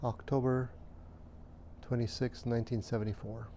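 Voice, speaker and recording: A man in his fifties from Romania, recalling his childhood in an interview at a measured, hesitant pace with frequent pauses and fillers. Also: mid-range voice, level tone, warm tone, slight background noise. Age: 50s. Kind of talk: recounting childhood memories.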